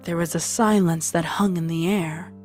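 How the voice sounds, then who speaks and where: A woman reads scripted fiction as the narrator. Her pitch sits on the low side for a woman, and music plays behind her. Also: steady tone, normal speed, darkish tone. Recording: music under the voice.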